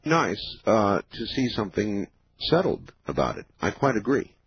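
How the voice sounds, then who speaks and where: A man of fifty hosting a late-night call-in radio show, explaining in a measured way with many pauses. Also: fairly low pitch, very animated, husky, no echo. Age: 50s. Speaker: radio talk show host. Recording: dull lo-fi radio broadcast.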